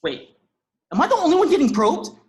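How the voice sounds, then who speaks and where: A woman's voice: high-pitched, wildly swooping pitch, fairly quick, darkish tone. A woman voicing a goofy, oblivious young man with a nonchalant, rambling manner, reading from a script. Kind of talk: script read-through.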